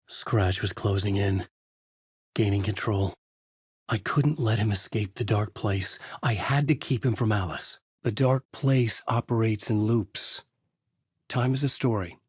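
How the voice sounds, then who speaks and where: An actor playing a brooding, haunted male writer, narrating a noir horror thriller in a measured, grave, dramatic way with a husky voice. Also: on the low side, darkish tone, no echo, clean studio sound.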